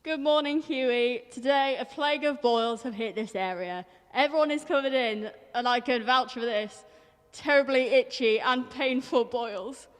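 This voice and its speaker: A woman's voice: high voice, a little slow. A woman playing a flustered on-the-scene correspondent, giving scripted mock news reports in a dramatic, plaintive manner. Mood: uncomfortable, pleading. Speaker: field reporter. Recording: reverberant, noisy ambient field sound.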